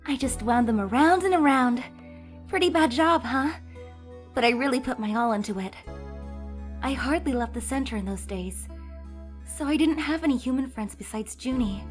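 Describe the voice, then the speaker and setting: A woman's voice: on the high side, lightly acted. Woman voicing an earnest, upbeat young lawyer. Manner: energetic, bursts into emotional pleas. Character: earnest, cheerful young female defense lawyer. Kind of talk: fan dub voice acting.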